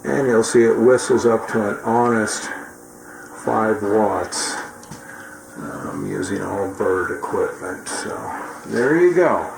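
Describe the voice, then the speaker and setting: A man's voice: fairly low pitch, takes it slowly. An American man giving a casual, rambling, folksy demonstration and chatting as a radio hobbyist. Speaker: radio repair hobbyist and CB operator. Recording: clear background noise.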